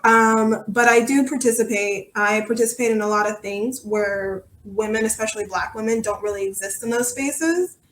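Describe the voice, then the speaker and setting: A woman's voice: a little high, moderate pace, few pauses, fairly bright tone, slight background noise. A woman chatting as a podcast guest in an informal, self-deprecating way, with echoey room sound. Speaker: podcast guest, soccer supporter. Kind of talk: casual interview chat.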